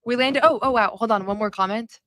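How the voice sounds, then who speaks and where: A woman's voice: a little high, a little fast, fairly bright tone, no echo, studio quality. A woman hosting a casual postgame show, chatty and rambling with frequent fillers. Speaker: host. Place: arena concourse at a summer league event.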